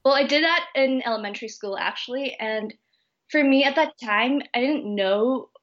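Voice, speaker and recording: A young woman from Thailand, a university student, answering interview questions in a polite, relaxed and friendly way. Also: high-pitched, moderate pace, dull audio. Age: young adult. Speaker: guest student.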